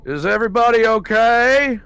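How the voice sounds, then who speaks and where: A man's voice: extremely high pitch, animated, moderate pace, a bit bright, clean tone, dry recording, soft background music. A man playing a tabletop roleplaying game, chatting casually and sometimes speaking in character.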